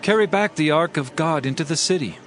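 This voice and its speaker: A man's voice: on the high side, expressive, a little fast, fairly bright tone, soft background music. An actor playing a troubled, dignified ancient king in a solemn, declamatory dramatized scripture reading. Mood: solemn, resigned.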